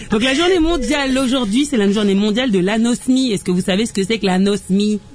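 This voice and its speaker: A woman hosting a live radio show as lively chat, teasing and playful, rambling as she goes. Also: mid-range voice, normal speed.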